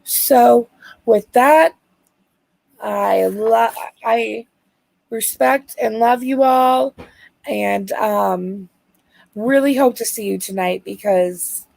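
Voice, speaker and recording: Raspy American woman co-hosting a livestream, slow and halting, gravelly with a dry close sound. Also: on the high side, a little mellow, dry recording. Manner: hesitant, many ums. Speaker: livestream co-host. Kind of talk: casual livestream chat.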